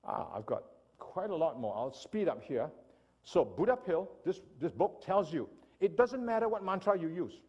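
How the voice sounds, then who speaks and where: Husky lively man from Singapore lecturing. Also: a little high, moderate pace, highs cut off. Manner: animated lecturing, asks audience "right?". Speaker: speaker. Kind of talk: church seminar talk.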